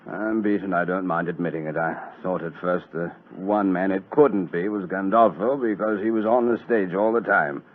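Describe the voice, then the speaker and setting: A man acting a blustering, gruff police sergeant in a 1940s radio drama, blunt in his way of talking. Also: low voice, normal speed, hardly any pauses. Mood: weary admission. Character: gruff middle-aged police sergeant, blustering, grudgingly respectful. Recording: muffled vintage radio recording.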